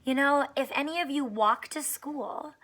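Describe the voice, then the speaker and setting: A woman's voice: high-pitched, a little slow, a bit bright. A woman teacher reading a storybook aloud to young children, expressively, with questions and asides spoken straight to them. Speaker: elementary school teacher reading aloud to students.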